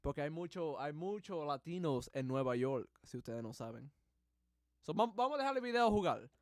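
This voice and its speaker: Hyped-up man streaming, english native speaking spanish, smooth clear voice, dry close sound. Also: a little high, normal speed, dry recording. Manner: excitable, mixes English and Spanish slang. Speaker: livestream host. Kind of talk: casual reaction commentary.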